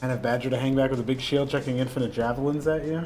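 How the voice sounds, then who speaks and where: Young male streamer with a slight lingering cough, middle-pitched voice, casual rambling chat, faint music behind. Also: steady tone, very fast, a little mellow. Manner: rambling, with frequent fillers and restarts.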